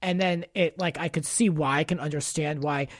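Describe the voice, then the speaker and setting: A man's voice: a little high, fairly even intonation, normal speed, no echo. Chatty male podcast host, dry close sound. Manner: chatty, opinionated. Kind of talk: casual pop-culture chat.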